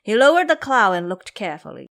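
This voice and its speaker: A woman's voice: medium pitch, very animated, fast, creaky, a bit bright. A woman narrating a scripted fantasy story in a dramatic storytelling style.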